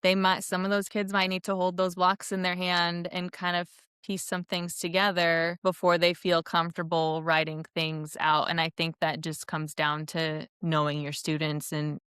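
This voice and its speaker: A woman's voice: fairly low pitch, little pitch movement, moderate pace, few pauses, a bit bright, smooth, no room sound, studio quality. A woman, a former teacher, answering in a conversational interview and explaining things with examples. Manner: explanatory, illustrates with imagined classroom quotes. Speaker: former third grade teacher, podcast guest.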